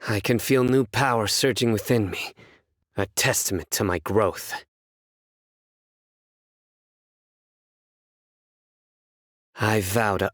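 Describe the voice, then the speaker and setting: A man voicing a stern, principled young judge in a video game, reserved, with measured, formal delivery. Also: on the low side, fairly bright tone, no room sound, clean studio sound. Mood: resolute. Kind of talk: scripted video game character voice lines.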